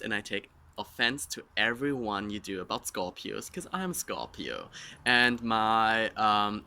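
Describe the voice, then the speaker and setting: A man's voice: lowish voice, bright, forceful tone. A man in his twenties with a German accent, answering his followers' questions in a frank, self-mocking, rambling way. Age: 20s. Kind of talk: casual Q&A monologue.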